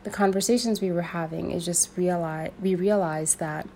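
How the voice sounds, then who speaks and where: A young woman from Sudan speaking in an earnest, searching way, pausing and restarting as she thinks aloud. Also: lowish voice, steady tone, dark tone, slight background noise. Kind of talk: personal reflective monologue.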